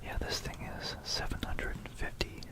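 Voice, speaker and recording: A person whispering an informal, musing history talk for ASMR, correcting themselves along the way, close to a stereo microphone. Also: moderate pace. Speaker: ASMR video creator. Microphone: close stereo binaural microphone.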